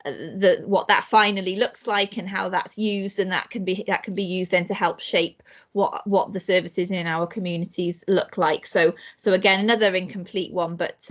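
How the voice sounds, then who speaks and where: A woman's voice: lowish voice, fairly even intonation, moderate pace. A woman council cabinet member giving a hesitant, filler-heavy update in an online meeting. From Wales. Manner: hesitant, many restarts and fillers. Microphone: video call.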